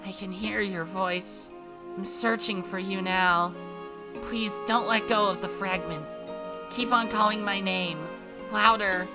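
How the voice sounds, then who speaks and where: A woman voicing a gentle, anxious girlish deity who calls out softly and pleadingly. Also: mid-range voice, steady tone, over music, pitched above the performer's own voice. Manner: soft, pleading, drawn-out calls.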